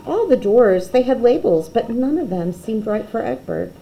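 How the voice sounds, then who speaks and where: A woman reading a children's picture book aloud expressively, with dramatic pauses and emphasis. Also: on the high side, lively intonation, creaky, dark tone, slight background noise, poor audio.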